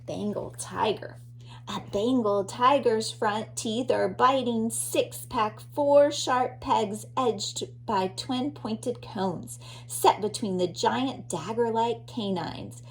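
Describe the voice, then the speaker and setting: A woman's voice: on the high side, slow pace. A woman teacher reading a children's nonfiction book aloud expressively, addressing young listeners.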